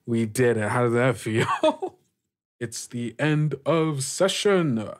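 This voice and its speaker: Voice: medium pitch, animated, slow. Informal, slangy game master running the table. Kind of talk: casual tabletop game narration and chat.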